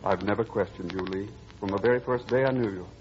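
A man's voice: fairly low pitch, fast talker, a little hiss or hum, natural voice. An actor playing a breezy, impatient young man in old-time radio drama, boyish and slangy. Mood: probing, reflective. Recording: muffled old radio recording, music behind.

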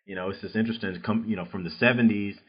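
Southern guy hosting a chat, low voice, says you know a ton, kinda dull lo-fi sound. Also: steady tone, very fast, breathy edge. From southern United States. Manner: rambling, constant "you know" fillers, laughs easily. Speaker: podcast host interviewing an author. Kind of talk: casual interview chat.

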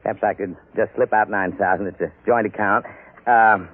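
An actor playing a restless, evasive husband in an old radio drama, hesitant and testy. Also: male voice, fairly low pitch, steady tone, moderate pace, lightly acted. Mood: hesitant, eager. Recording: tinny phone-like old radio recording.

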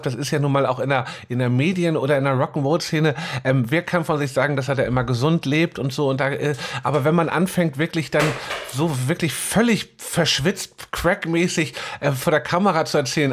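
A man chatting casually and bluntly as a podcast co-host, rambling and often stumbling over the starts of words. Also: on the high side. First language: German. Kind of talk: casual opinionated chat.

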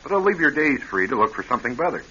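An actor in a radio drama playing a kindly, earnest shopkeeper, plainspoken and reassuring. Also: male voice, medium pitch, very animated, rapid-fire, sharp tone. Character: kindly, earnest male shopkeeper offering help. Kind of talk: classic radio drama acting. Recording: hissy, muffled old radio recording.

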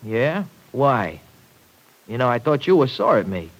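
A brash young rancher in a vintage radio soap. He talks in loose, ungrammatical slang, cocky yet big-hearted, and his pitch swings freely up and down. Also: very animated, a little slow, poor audio. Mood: eager, cocky. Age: young adult. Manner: slangy, ungrammatical folksy talk. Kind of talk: old-time radio serial acting.

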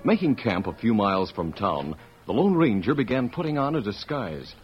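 Male announcer, American, theatrical and emphatic, lively pitch, dull old radio sound. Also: moderate pace. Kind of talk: dramatic old-time radio narration. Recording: muffled vintage radio recording with music.

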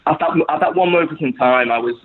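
A young Scouse man from Liverpool chats as a podcast guest, his speech looping through fillers and restarts. His voice is husky and a little breathy. The sound comes through thin and tinny, like a phone call. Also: fairly high pitch, fast talker. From Liverpool, England. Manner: rambling, full of "you know" fillers. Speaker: guest supporter. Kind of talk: casual podcast conversation.

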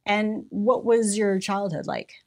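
A woman's voice: medium pitch, little pitch movement, takes it slowly, a little mellow. A woman hosting an interview, engaged and encouraging, asking follow-up questions in a conversational way. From Mexico, Missouri. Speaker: interviewer, host.

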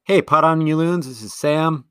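A man co-hosting a casual sports podcast, chatty and self-deprecating, quick to laugh. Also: on the high side, a bit bright, clean tone, no echo, studio quality. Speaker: podcast co-host.

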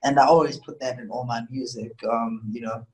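A man's voice: mid-range voice, fairly even intonation, a little fast, a little mellow, rough recording. Zimbabwean man answering casually in an interview. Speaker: interview guest, musician.

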